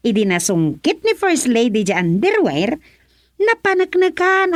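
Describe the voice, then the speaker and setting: A woman's voice: fairly high pitch, no room sound, studio quality. A woman playing a gleeful village gossip in a comedic radio drama, teasing and giggly as she draws out her tales. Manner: giggly, teasing, drawn-out storytelling.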